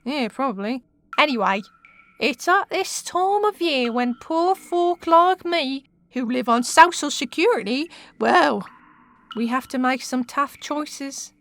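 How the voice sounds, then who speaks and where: A woman's voice: sits high, expressive, takes it slowly, a bit bright, full character voice. A woman with an English accent playing a brash, teasing witch and jailer on the make in a comic radio sitcom, full of slang and mouthy banter. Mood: sly, wheedling.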